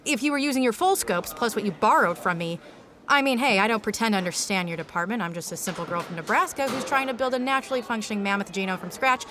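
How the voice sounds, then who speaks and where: A woman's voice: mid-range voice, fast talker, over music, close to an everyday voice. An actress playing a breezy, joking woman scientist in an audio drama, informal and teasing in a folksy way. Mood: musing, casual.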